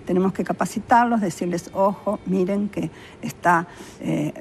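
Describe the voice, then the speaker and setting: Female interview guest, Uruguayan, health official. Fairly low voice, talks informally and explanatorily over room noise. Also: moderate pace. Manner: conversational, illustrates points with imagined quotes. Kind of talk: spontaneous explanatory interview talk.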